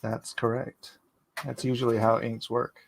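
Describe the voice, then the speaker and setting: A man from California, raised in San Jose, chatting casually with dry jokes and halting, reflective stories. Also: mid-range voice, little pitch movement, vocal fry, slight background noise, poor audio. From born in Hawaii, raised in San Jose. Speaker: co-host, friend. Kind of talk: casual chat.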